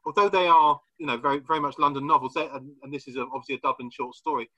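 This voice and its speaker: A man's voice: mid-range voice, steady tone, very fast, pushed and bright, slightly rough, lo-fi sound. A man hosting an academic podcast, developing literary points with frequent word repeats and restarts. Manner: fluent but restarting, repeats words as he builds a point. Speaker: podcast host.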